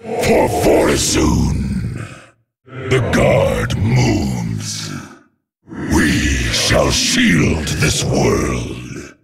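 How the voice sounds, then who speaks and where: An actor playing a stern, devoted alien shadow warrior in a video game, gravelly and solemn, speaking in formal proclamations. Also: male voice, very animated, extremely slow, exaggerated voice. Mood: resolute, vigilant. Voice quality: gravelly, very deep. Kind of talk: video game unit voice lines. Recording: music under the voice.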